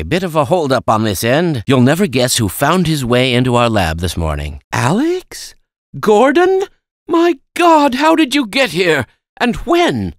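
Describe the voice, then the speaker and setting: Fussy absent-minded old scientist for a video game, voice swings all over, close dry sound. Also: male voice, a little high, fairly bright tone, dry recording, exaggerated voice. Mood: alarmed, excited. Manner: fussy, precise, old-fashioned exclamations. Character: kindly, absent-minded older male scientist, excitable and fussy. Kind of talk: video game character acting.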